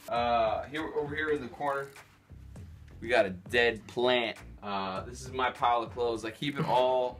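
A man's voice: mid-range voice, a bit bright. A man in his thirties chatting casually and sardonically as he shows people round a house, with mock-showy slang and swearing, recorded on a handheld camera indoors. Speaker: vlogger giving a house tour. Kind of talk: casual, joking house-tour chat. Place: small rooms of a rundown house.

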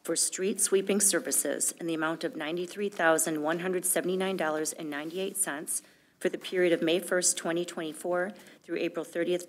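A middle-aged woman reading official documents aloud as a clerk, formal and matter-of-fact. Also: fairly low pitch. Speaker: village clerk. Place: indoor board meeting room, at a desk.

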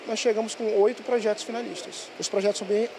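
A man's voice: sits high, fairly even intonation, fast talker, gravelly, noisy background. A man in his thirties, a teacher, talking proudly in an interview in a school workshop into a handheld mic. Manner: proud and explanatory. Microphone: handheld reporter mic.

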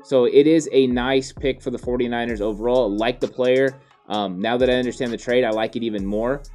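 A man in his thirties, a podcast co-host, explaining football draft moves in a steady, casual way with frequent fillers. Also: mid-range voice, brisk. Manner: steady, explanatory, many "you know" fillers. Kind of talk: casual sports analysis chat. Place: home studio, indoors. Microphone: studio mic on boom arm.

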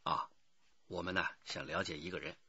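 An actor playing a polite, probing male police detective in a radio drama, with measured, courteous questioning. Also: deep voice, steady tone, creaky, sharp tone, slightly rough, highs cut off, exaggerated voice.

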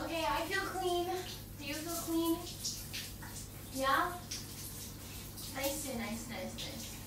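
High-voiced playful woman in a very echoey space. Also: lively intonation, recorded from a distance. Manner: playful, teasing, halting with repeated words. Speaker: girlfriend roleplay audio performer. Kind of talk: intimate ASMR girlfriend roleplay, casual one-sided chat. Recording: very echoey bathroom-like sound, off-mic, background water noise.

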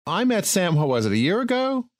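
A man's voice: high voice, sing-song, a little fast, no room sound. A man hosting an architecture radio show, chatty and enthusiastic as he asks questions. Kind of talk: casual interview.

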